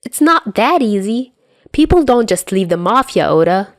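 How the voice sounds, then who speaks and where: A woman's voice: mid-range voice, very animated, normal speed, dry recording, studio quality, voice pushed up. A woman voicing a flustered young man who sputters and protests indignantly in an audiobook-style story.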